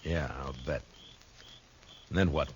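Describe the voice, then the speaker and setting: An actor playing a calm, shrewd frontier marshal in a 1950s radio western, talking dryly and firmly. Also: male voice, very deep voice, a little slow, slightly rough, muffled recording, heavily acted. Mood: steady. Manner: calm, dry and firm. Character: steady frontier lawman, grown man, calm and shrewd. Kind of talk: 1950s radio drama acting. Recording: faint hiss of an old radio recording.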